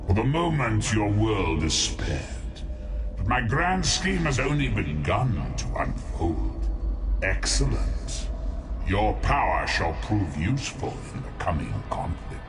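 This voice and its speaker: A man's voice: fairly low pitch, big pitch swings, slow, over music. A man voicing a menacing, grandiose demon lord in a fantasy video game, pompous, theatrical and mocking. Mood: grudging, mocking. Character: male demonic overlord, menacing, grandiose, vengeful.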